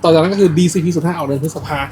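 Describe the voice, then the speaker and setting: A man, the card shop owner, commentating a card game match with lively exclamations and quick laughs. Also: fairly high pitch. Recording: noisy shop background.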